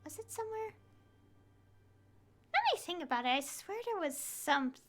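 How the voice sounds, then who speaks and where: A woman's voice: extremely high pitch, very animated, normal speed, faint music behind. A woman streaming a game with casual, rambling commentary, thinking aloud.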